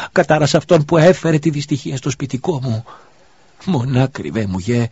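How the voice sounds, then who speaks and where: A man's voice: mid-range voice, darkish tone, husky, dry recording, voice pushed up. A man voicing a desperate widowed mother who pleads and wails in grief, in a dull lo-fi recording. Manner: pleading, then wailing curses. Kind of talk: audiobook character voice.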